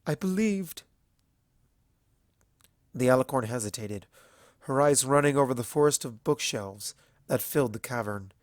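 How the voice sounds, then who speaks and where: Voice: medium pitch, normal speed, no room sound, clean studio sound. A narrator reading a fan fiction story aloud in a scripted storytelling style.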